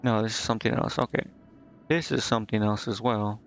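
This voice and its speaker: A man's voice: on the low side, steady tone, brisk, vocal fry, slight background noise. A man doing casual live game commentary as a streamer, hesitantly thinking aloud to himself and reading on-screen text out. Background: game music.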